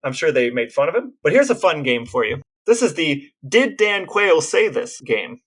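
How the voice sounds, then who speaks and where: A man co-hosting a podcast, telling historical anecdotes in a casual, animated storytelling manner. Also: on the high side, moderate pace, slightly rough. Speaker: podcast co-host.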